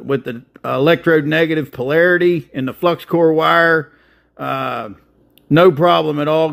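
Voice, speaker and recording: A man's voice: fairly high pitch, vocal fry. A man in his fifties, a welder hosting his channel, explaining his work in casual, practical how-to talk with plenty of filler. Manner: plainspoken, practical, with frequent filler. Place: welding shop and outdoor work site.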